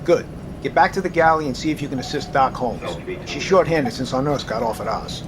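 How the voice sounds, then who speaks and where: An actor playing a gruff, steady submarine captain in an audio drama, giving clipped orders. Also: mid-range voice, fairly quick, a crowd in the background, lightly acted. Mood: brisk. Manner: clipped barked orders, reassuring. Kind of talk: full-cast audio drama acting. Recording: loud music bed behind.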